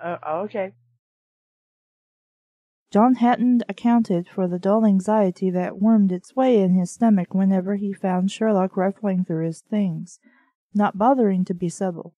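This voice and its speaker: A woman's voice: mid-range voice, fairly even intonation, unhurried, mellow, no room sound, dull audio. A woman bantering casually and reading scripts aloud with a group on a voice call. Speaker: member of a voice-acting group doing readings.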